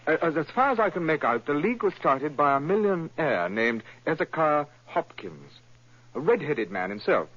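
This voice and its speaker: An actor playing an eager, fawning young shop assistant who speaks deferentially and stammers in an old-time radio drama. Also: male voice, fairly high pitch, big pitch swings, moderate pace, fairly bright tone, slightly rough, heavily acted. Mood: coaxing. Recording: dull lo-fi vintage radio recording.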